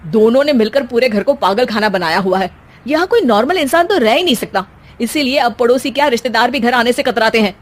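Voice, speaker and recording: Lady doing a fed up young husband in a cartoon, wry n scolding, kinda high voice. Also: animated, brisk. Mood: weary, scolding. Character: exasperated young husband refereeing wife and mother. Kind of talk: animated family comedy story acting.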